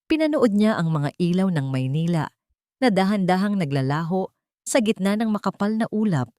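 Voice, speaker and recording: A woman reading a scripted dramatic story in a measured, solemn manner. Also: on the low side, a little mellow, no room sound, clean studio sound.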